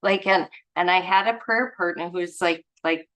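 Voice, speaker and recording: A woman's voice: fairly low pitch, normal speed, fairly bright tone, low-quality recording. A woman leading a study group, reading aloud and adding informal, musing, effusive personal commentary in a casual way. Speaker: reader and study group leader.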